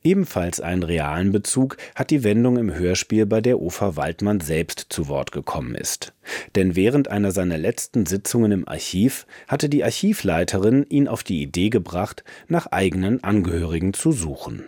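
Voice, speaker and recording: A man works as a radio presenter, delivering scripted narration. His voice sits very low but moves with lively, varied intonation. The sound is dry, with hardly any room in it. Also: normal speed, no echo.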